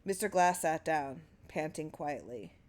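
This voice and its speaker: A woman reading a short story as expressive audiobook narration, with dramatic, measured phrasing. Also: deep voice. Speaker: story reader.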